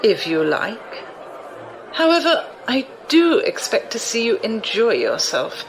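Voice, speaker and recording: A woman's voice: on the high side, huge pitch swings, slow pace, some chatter behind, a little hiss or hum, exaggerated voice, rough recording. An actress playing a sly, amused, commanding old noblewoman in an audio drama, with refined, teasing, theatrical delivery. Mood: wry, commanding. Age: older.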